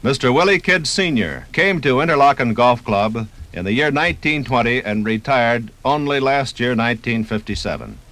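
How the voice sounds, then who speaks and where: An American man presents as a 1950s radio narrator and interviewer. His pitch is average for a man. The delivery is scripted storytelling. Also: animated, normal speed, few pauses, a bit bright.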